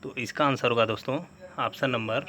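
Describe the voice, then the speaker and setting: Guy teacher, mid pitch, going thru exam questions and answers step by step. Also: fairly even intonation, brisk, pushed and bright. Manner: methodical, formulaic question-and-answer delivery. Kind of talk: quiz-style lesson narration, reading questions and answers.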